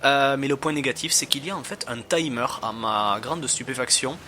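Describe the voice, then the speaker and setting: A man giving casual, halting commentary as he plays and reviews a game. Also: mid-range voice, bright, forceful tone, a little hiss or hum. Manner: hesitant, halting.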